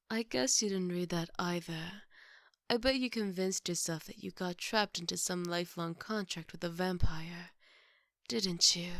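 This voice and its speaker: Woman as a formal maid for asmr roleplay, lowish voice, slow and measured, wry, super dry sound. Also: fairly bright tone, dry recording. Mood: wry, teasing. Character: composed, formal, gently teasing maid to a vampire.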